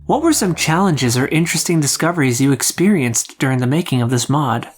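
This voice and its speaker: A man's voice: a little high, animated, brisk, husky. A man hosting an interview podcast, reading scripted questions and announcements.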